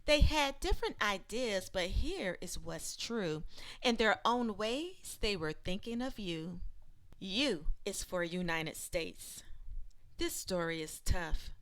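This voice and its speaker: Woman reading aloud at a slow pace. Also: mid-range voice, expressive, fairly bright tone. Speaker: educator reading a children's book aloud. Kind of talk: expressive read-aloud of rhyming children's book.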